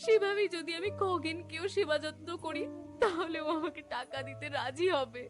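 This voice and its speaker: Cartoon casting: distraught poor wife, extremely high voice, tearful pleading, music clearly underneath. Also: female voice, normal speed. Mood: ashamed, distressed. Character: helpless, ashamed, distraught poor village wife. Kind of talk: Bengali cartoon melodrama.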